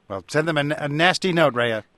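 A man's voice: on the high side, brisk, fairly bright tone, dry recording. A man hosting a call-in radio show, easygoing and wry as he takes callers. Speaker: radio host.

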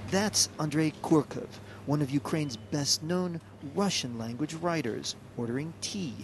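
A man reading a scripted news report as a foreign correspondent, in a measured, even way. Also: a little high, a little hiss or hum.

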